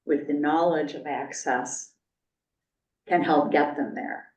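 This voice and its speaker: A middle-aged woman with a very low voice speaks earnestly and thoughtfully. She sounds far from the mic in a roomy, slightly dull space. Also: steady tone, slow pace, a little mellow. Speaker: discussion participant. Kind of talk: casual group discussion. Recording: distant, echoey, dull.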